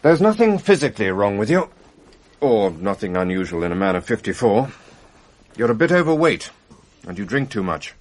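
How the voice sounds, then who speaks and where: An actor playing a calm, matter-of-fact doctor who speaks in a measured, reassuring, slightly wry way in a radio drama. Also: male voice, fairly low pitch.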